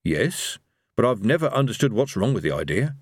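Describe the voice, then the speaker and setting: A man's voice: mid-range voice, big pitch swings, brisk, no room sound. A man voicing a nervy, cowardly fellow who is hesitant, dry and self-justifying, in a storybook reading. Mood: wry, anxious. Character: Rincewind, nervy cowardly man, wry and evasive.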